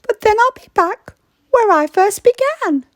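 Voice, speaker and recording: A woman's voice: very high voice, lively intonation, a little slow, dry recording, studio quality, pitched above the performer's own voice. A woman voicing a flustered, grumbling little old lady from a rhyming picture book, plaintive and exclamatory. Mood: desperate.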